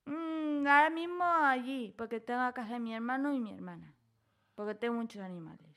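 A woman's voice: a little high, a little fast, a bit bright. A woman in her twenties from Spain, a radio guest who gives brief, shy answers. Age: 20s. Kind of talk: casual radio interview.

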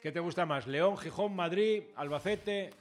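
A man hosting a casual radio interview, chatty and joking as he fires quick follow-up questions. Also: high voice. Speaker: radio host.

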